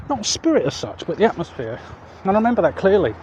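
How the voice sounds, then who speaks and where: Male walking vlogger, southeast English, high-pitched, rambling and hesitant, handheld mic beside noisy roads. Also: big pitch swings, a little fast. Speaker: vlog host. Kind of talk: casual chat to camera while walking. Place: outdoors walking along roads. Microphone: handheld external microphone. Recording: muffled outdoor street audio, loud traffic noise.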